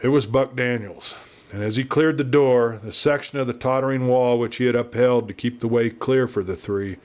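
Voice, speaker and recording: A man narrating a Western adventure audiobook in a dramatic, theatrical storytelling manner. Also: mid-range voice.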